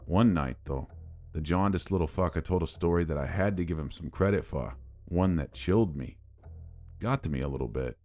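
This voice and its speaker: A man with a very low voice narrates a scripted horror tale. He tells it in the first person, with a wry, world-weary manner suited to a bartender's recollection. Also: moderate pace, a little mellow, soft background music.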